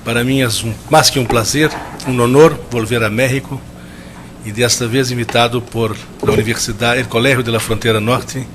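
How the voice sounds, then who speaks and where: A man from Brazil speaking with a strong Brazilian Portuguese accent, giving a measured, reflective conference talk and often restarting as he searches for words. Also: medium pitch, steady tone, noisy background. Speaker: guest lecturer. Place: lecture hall.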